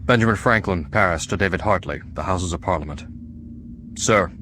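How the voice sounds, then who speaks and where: A man's voice: deep voice, fairly bright tone, slight background noise. A man acting a grave, indignant 18th-century statesman, reading a formal letter in stately period drama style. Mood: stern, indignant.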